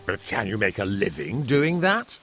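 A man voicing an elderly male colonel who speaks in a polite, gentlemanly way, in an audiobook reading. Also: on the low side, sing-song, moderate pace, soft background music. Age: older.

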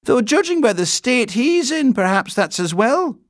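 A stout older housekeeper speaks in a very high, swooping voice. She is fussy, blunt and fierce with a motherly streak, exclaiming her way through a storybook scene. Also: big pitch swings, a little slow, no room sound, studio quality. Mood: dismayed.

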